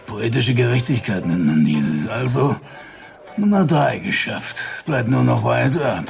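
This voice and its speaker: An actor playing a cocky, mock-pompous computer sidekick in a radio play, with a very creaky voice and hammy taunting. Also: male voice, medium pitch, sing-song, a little slow, faint music behind, heavily acted. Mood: mocking glee.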